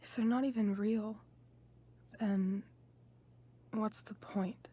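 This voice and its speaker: A woman playing a disillusioned, hurt teenage girl in a video game, speaking softly and pensively, often close to a whisper. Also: medium pitch, fairly even intonation, slightly rough. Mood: bitter, hurt. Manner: slow, halting, pensive delivery. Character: disillusioned, wounded teenage girl confiding in her friend. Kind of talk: naturalistic video game voice acting.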